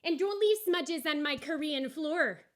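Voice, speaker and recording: A woman's voice: high-pitched, a little slow, pitched above the performer's own voice. A woman voicing a rude, haughty female restaurant owner in a storybook, curt, emphatic and dismissive. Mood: haughty annoyance. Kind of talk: children's storybook character voice.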